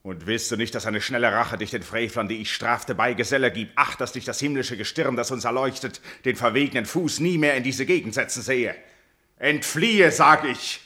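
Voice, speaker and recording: A man playing a proud, wrathful ancient Greek king and betrayed father in a classical verse radio tragedy, declaiming gravely and solemnly. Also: medium pitch, moderate pace, pushed and bright. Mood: furious.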